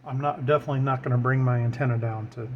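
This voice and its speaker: A man's voice: medium pitch, fairly even intonation, racing, dull audio. A man giving an informal hands-on tutorial as a hobbyist, thinking aloud while he works. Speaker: radio hobbyist demonstrating his equipment. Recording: clear background noise.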